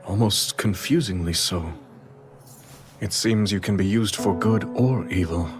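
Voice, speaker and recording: Deep-voiced, burdened noble king played by a man. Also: over music, lightly acted. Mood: grave, pondering. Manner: formal, measured, solemn. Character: noble, burdened male king, grave and reflective. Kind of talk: dramatic video game voice acting.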